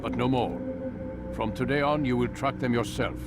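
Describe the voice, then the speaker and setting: A man's voice: medium pitch, natural voice. Man acting stern, solemn old assassin master. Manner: measured, solemn, lecturing. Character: stern older master of an assassin order, paternal, reproving. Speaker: video game character actor. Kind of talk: dramatic game cutscene acting. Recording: loud music behind the voice. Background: loud background music.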